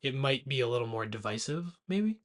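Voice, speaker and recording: A man in his late twenties chats as a podcast co-host over drinks. His way of talking is informal and joking, with a middling pitch, as he discusses movies. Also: big pitch swings, a little fast, a bit bright. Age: late 20s. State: drinking alcohol.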